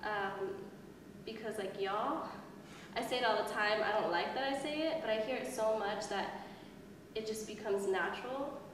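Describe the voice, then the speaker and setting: A woman's voice: medium pitch, normal speed. Young woman in a very echoey room. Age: young adult. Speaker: student interviewee. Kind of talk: casual interview answers. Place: indoor room. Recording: very echoey room, mic far off, noisy.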